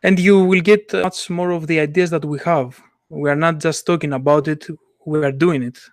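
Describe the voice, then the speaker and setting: A man's voice: fairly high pitch, fairly even intonation, no room sound, clean studio sound. A man who speaks English as a second language, co-hosting a casual podcast and searching for his words as he goes. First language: not English. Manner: halting, searching for words in non-native English. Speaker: podcast co-host and illustrator.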